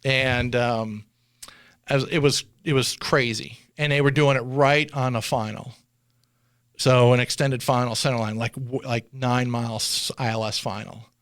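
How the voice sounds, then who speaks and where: A man with a medium-pitched voice talks casually, like a seasoned insider explaining the job. He slips into quoted exchanges as he goes. The sound is dry, with very little room in it. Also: fairly even intonation, measured pace, fairly bright tone, clean tone, dry recording. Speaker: former air traffic controller, interview guest.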